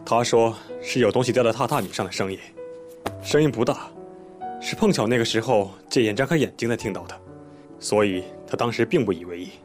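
An actor playing a composed male police detective in an audio drama, explaining things in a measured, methodical, polite way. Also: medium pitch, soft background music, lightly acted. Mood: matter-of-fact. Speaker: police investigator questioning a witness.

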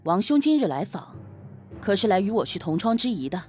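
A woman's voice: mid-range voice, sing-song, brisk, warm tone, faint music behind, natural voice. A woman playing a sarcastic young husband and official in a Chinese costume audio drama, with a pointed, snorting delivery. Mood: jealous, sarcastic.

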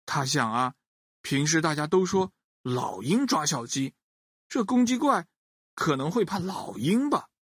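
A woman tells a children's story in a slightly low voice with very lively intonation. She talks straight to her young listeners in a playful way. The recording is dry. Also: measured pace, husky, dry recording. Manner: addresses young listeners directly, playful particles and questions.

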